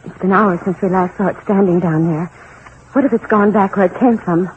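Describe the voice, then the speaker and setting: An actress playing a devoted, frightened wife in 1940s radio horror, earnest and pleading, on a thin old radio recording. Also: female voice, mid-range voice, brisk, narrow, tinny audio, a little hiss or hum, natural voice. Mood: anxious, tender. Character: devoted, frightened wife refusing to abandon her husband.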